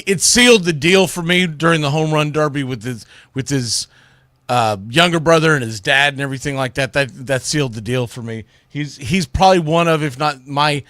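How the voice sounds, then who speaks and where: Man, sports show host, rambling folksy delivery, slightly raised pitch, dry close-miked sound. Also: fairly bright tone, dry recording. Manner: folksy, rambling, informal with Southern-style turns like y'all. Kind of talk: casual solo livestream sports commentary.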